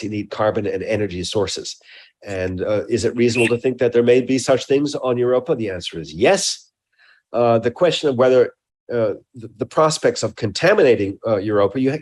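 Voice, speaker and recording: A man's voice: mid-range voice, darkish tone. Mature male scientist explaining in an interview. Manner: deliberate, explanatory, with precise scientific terms. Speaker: research scientist interviewed as a guest.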